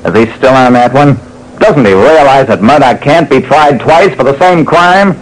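A man's voice: mid-range voice, close to an everyday voice. A man playing a hard-boiled private detective, narrating his cases dramatically in an old-time radio show. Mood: weary, blunt. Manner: dramatic, deliberate. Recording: noisy old radio recording.

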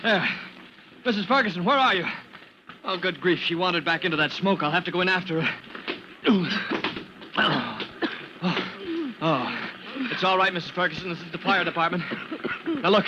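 A man acting a brave, earnest young rookie firefighter in an old radio drama, tense and straining. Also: high voice. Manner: strained muttering to himself, earnest. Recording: noisy old radio recording.

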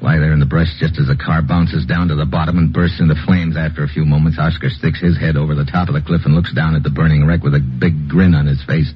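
An actor playing a tough, wry undercover agent, clipped and wisecracking, in 1950s radio noir style. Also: male voice, extremely low pitch, steady tone, quick pace, few pauses, slightly rough. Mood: tense narrating. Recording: muffled, noisy old radio recording.

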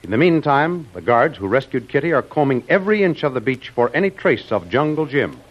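A man narrates a classic radio serial in a formal manner. His mid-pitched voice rises and falls dramatically. The sound is dull, like an old broadcast recording. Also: sing-song, fairly quick, slight background noise.

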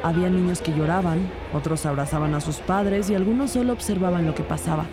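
A woman's voice: on the low side, quick pace, a crowd in the background, natural voice. A woman playing a detective who narrates her case in a scripted audio drama. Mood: narrating. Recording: clear background music under voice.

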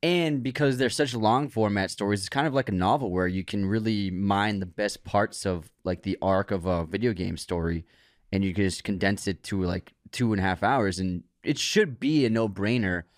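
A man's voice: on the low side, moderate pace, no echo. Man chatting casually, dry close sound. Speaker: podcast co-host. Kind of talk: casual conversational discussion.

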